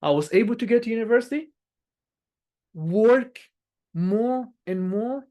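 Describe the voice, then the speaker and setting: A man's voice: high voice, animated, a little slow, dark tone. A man from Angola whose first language is Portuguese, giving an earnest prepared speech at a speaking club and often repeating or restarting phrases.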